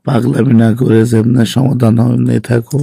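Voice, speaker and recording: A man's voice: medium pitch, little pitch movement, brisk, vocal fry, dark tone, slightly rough, dry recording. A man playing a regretful young lover, pleading in a subdued way in colloquial Bangladeshi Bengali on an emotional phone-call drama.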